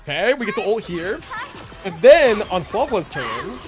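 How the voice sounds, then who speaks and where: A man with a high, very animated voice is streaming a game. He talks casually, works out his moves aloud and answers chat, with plenty of slang and swearing. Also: measured pace, over music. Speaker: game livestreamer. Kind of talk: casual gameplay commentary with chat replies.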